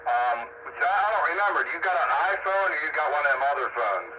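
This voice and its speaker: A man's fairly high voice comes through a muffled two-way radio with clear noise over it. He rambles in a casual, colloquial way, like an amateur operator chatting on the air. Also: expressive, fast talker. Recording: dull, noisy two-way radio recording.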